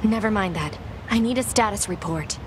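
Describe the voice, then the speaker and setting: A woman's voice: medium pitch, moderate pace, background noise, close to an everyday voice. A woman playing a stoic young female android soldier in a video game, clipped, formal and restrained. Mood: controlled, terse.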